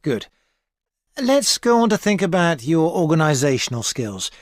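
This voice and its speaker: An actress playing an experienced tutor advising a student in a scripted exam dialogue, calm and measured. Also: female voice, deep voice, no room sound. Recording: dry studio recording.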